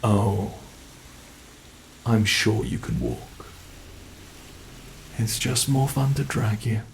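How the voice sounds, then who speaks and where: ASMR horror role: British man plays a cruel male monster jailer, gravelly, slow and taunting. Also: fairly low pitch, slight background noise. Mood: gloating menace. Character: cruel gloating male monster keeping a human captive.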